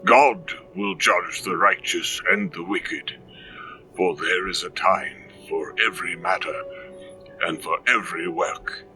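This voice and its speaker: A man playing a solemn village reverend in an audio drama, preaching and reciting scripture in a measured way. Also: low voice, steady tone, sharp tone, recorded from a distance, faint music behind, heavily acted, rough recording. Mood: solemn, prayerful.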